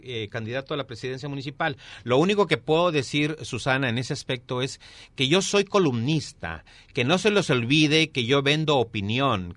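Bright-voiced middle-aged Mexican man. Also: medium pitch, no room sound. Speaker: mayoral candidate and former newspaper columnist being interviewed. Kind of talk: interview answers, campaign pitch.

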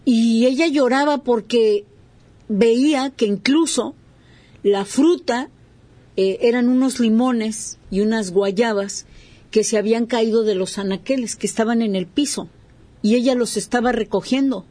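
Mellow woman hosting a talk show. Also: fairly high pitch, measured pace, no room sound. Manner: conversational, frequent "eh" fillers.

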